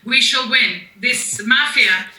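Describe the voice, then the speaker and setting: High, bright Latvian-native woman interpreting. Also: slow. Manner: hesitant, many fillers. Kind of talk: live interpreting on a video call. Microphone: video call. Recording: echoey distant video call audio.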